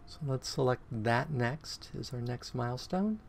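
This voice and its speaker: Gravelly-voiced man with a croaky voice. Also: medium pitch, expressive, a little slow, slight background noise. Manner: counts items aloud and hums to himself. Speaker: game streamer. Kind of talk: casual gameplay commentary.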